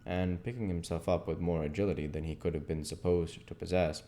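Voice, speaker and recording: A man with a very low, mellow voice narrates classic literature. His reading is expressive, with measured and dramatic phrasing. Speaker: reader and host of an audiobook channel.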